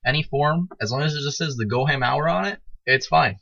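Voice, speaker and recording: A man's voice: on the high side, brisk, creaky, sharp tone. Hesitant young man, lo-fi podcast sound. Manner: hesitant, many fillers and restarts. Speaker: podcast co-host. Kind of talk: casual chat. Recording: dull lo-fi recording.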